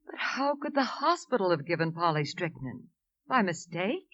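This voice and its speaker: An actress playing an eager, inquisitive friend in a 1950s radio drama. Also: female voice, on the low side, big pitch swings, moderate pace, fairly bright tone, no echo. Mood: eager, questioning. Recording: dull, dry vintage radio recording with music.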